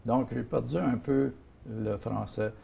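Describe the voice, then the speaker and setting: An older man from Ottawa with a Franco-Ontarian French accent, speaking as an interview guest and pausing often with fillers and restarts. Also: medium pitch, vocal fry, dark tone, husky. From Ottawa, Canada. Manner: hesitant, many fillers and restarts. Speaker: guest, seniors' association president. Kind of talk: casual interview talk.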